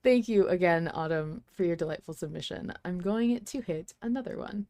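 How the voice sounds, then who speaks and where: A woman's voice: lowish voice, normal speed, dark tone, no echo, studio quality. A woman hosting a podcast in a casual, chatty style. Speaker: podcast host.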